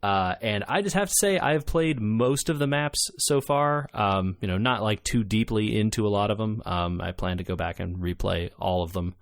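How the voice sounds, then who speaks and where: A man's voice: on the low side, normal speed, gravelly, hardly any pauses, no echo, studio quality. A man co-hosting a podcast, explaining things in an informal, enthusiastic way in casual chat about video games. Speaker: podcast co-host reviewing games.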